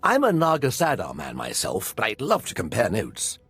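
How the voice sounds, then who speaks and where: An actor playing an eccentric, eager military archaeologist in a video game, musing with enthusiasm. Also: male voice, on the low side, wildly swooping pitch, normal speed, fairly bright tone, breathy edge, faint music behind, exaggerated voice. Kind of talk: game voice acting.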